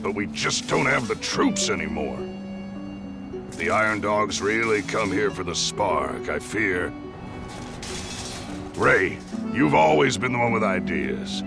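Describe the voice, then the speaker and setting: Very deep gruff old captain. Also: male voice, heavily acted. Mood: alarmed, concerned. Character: gruff old military captain, loyal, weary resistance veteran. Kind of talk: English video game cutscene acting. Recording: loud music over the voice.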